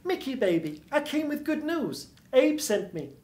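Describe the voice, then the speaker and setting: Man doing a high-pitched seductive noir dame. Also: very animated, moderate pace, a little mellow. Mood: flirtatious. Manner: sweet, breezy, cajoling. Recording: roomy stage sound.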